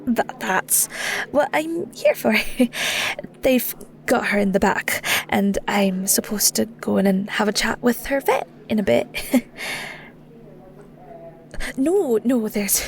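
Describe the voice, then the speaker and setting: A woman with a Scottish accent playing a shy, flustered young werewolf shepherd in an intimate audio roleplay, stammering awkwardly in a soft, breathy voice. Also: fairly high pitch, expressive, slow pace, pauses often. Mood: awkward, earnest. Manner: stammering, giggly, awkward, hesitant.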